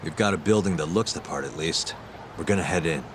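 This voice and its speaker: A man playing a calm, determined private detective in a video game cutscene, speaking in a composed, matter-of-fact way. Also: sits low, a little fast, noisy background, close to an everyday voice. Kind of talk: English video game cutscene dub acting. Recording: music playing behind the voice.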